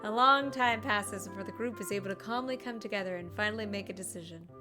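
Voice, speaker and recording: A woman's voice: mid-range voice, fast talker. A woman streamer giving casual live commentary as she plays a video game. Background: game music.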